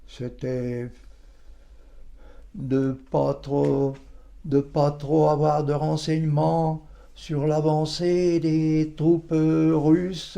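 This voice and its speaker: A man in his nineties reminiscing in an interview, halting and colloquial, often repeating his phrases. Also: a little high, gravelly, mellow. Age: 90s. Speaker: interviewee, war witness.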